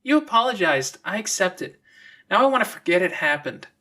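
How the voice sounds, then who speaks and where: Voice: mid-range voice, big pitch swings, a little fast, sharp tone, voice pushed up. A narrator voicing a teasing, moody and blunt teenage girl in an audiobook. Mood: brusque.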